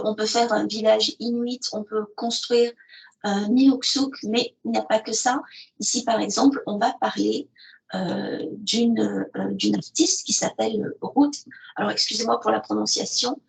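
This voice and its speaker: A woman's voice: on the high side, fairly even intonation, unhurried, gravelly, poor audio. A woman presenting educational resources in an online session, hesitant with many fillers. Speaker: presenter of an educational video platform. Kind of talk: webinar presentation with screen sharing.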